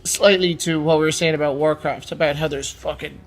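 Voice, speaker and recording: A man's voice: on the high side, fairly even intonation, a little fast. A man co-hosting an informal, conversational chat about games and films. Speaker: podcast co-host.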